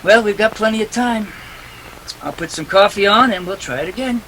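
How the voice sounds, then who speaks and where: A man's voice: sits high, a little fast, pushed and bright, slight background noise, poor audio. A man playing a tough, wisecracking private detective in a 1940s-style radio drama, clipped, sardonic and impatient. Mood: pressing, sardonic. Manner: clipped, hard-boiled, sardonic.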